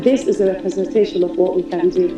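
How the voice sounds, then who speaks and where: A woman's voice: fairly low pitch, steady tone, fast talker, echoey room, far from the mic, muffled recording, music in the background. A woman in a promotional ad, describing design in a polished, explanatory way. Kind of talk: promotional speech.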